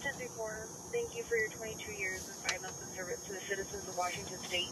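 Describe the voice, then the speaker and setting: A man's voice: high voice, expressive, fast talker. A man working as a police dispatcher, reading a formal tribute over the radio. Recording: noisy police radio transmission recorded in car.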